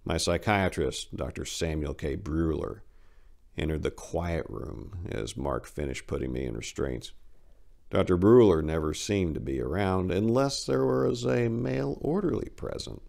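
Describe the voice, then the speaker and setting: A man's voice: deep voice, unhurried, creaky, a little mellow. A man presenting and narrating short stories in an emphatic, theatrical reading style. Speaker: channel host, author reading stories. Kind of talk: scripted introduction and dramatic narration.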